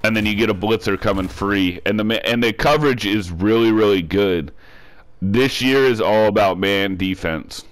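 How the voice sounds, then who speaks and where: A man with a lowish, smooth voice, congested from a cold, narrates a video game tutorial. He repeats each step and restarts his words often, in a casual, instructive way. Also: normal speed. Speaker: gaming tutorial creator.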